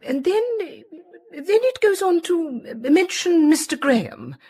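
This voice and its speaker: A woman playing an anxious, genteel lady in a radio drama, speaking in a breathy, husky voice with halting, polite agitation. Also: extremely high pitch, very animated, a little mellow, exaggerated voice. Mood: distressed. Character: anxious, genteel, hesitant woman seeking discreet reassurance. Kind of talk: British radio detective drama acting.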